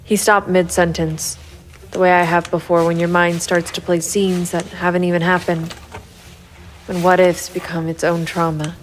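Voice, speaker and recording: Brooding, even-toned female private eye, played by an actress. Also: fairly low pitch, normal speed, a little hiss or hum, close to an everyday voice. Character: hard-bitten female private investigator, brooding, protective loner. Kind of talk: noir first-person narration and audio drama dialogue. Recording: music behind the voice. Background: music.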